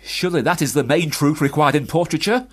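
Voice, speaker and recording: A man's voice: a little high, brisk, lightly acted. An actor playing a stern, older parson scolding his grown son with biting formality in a period radio drama. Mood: sarcastic.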